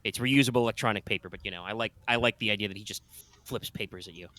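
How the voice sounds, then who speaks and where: A man voicing a suave male career defense lawyer in a tabletop roleplaying game, smooth and wry. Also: on the low side, very fast, bright, forceful tone, pitched below the performer's own voice.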